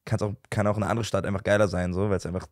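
A man's voice: deep voice, level tone, very fast, a little mellow, dry recording, studio quality. A nineteen-year-old man from Berlin, a podcast guest chatting casually into a handheld mic and rambling with many fillers and restarts. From Berlin, Germany. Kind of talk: casual chat. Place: podcast studio, indoors.

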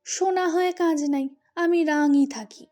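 Woman as a cheeky young uncle, high voice. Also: fairly even intonation, a little slow, mellow, faint music behind. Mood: stubborn, coaxing. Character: cheeky, stubborn young bachelor uncle, wryly humorous.

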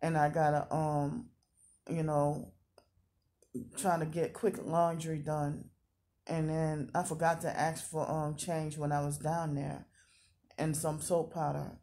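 A woman's voice: low-pitched, level tone, takes it slowly, warm tone. A woman in her thirties talking casually in a confessional vlog, rambling and repeating herself. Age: 30s. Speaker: vlogger.

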